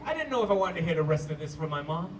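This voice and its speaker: A man with a Canadian accent performing stand-up comedy to a live audience, telling stories playfully with drawn-out emphasis and pauses. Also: fairly high pitch, sing-song, echoey room. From Toronto, Canada. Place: live comedy show at a park venue. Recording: distant mic, hissy live-show camcorder sound.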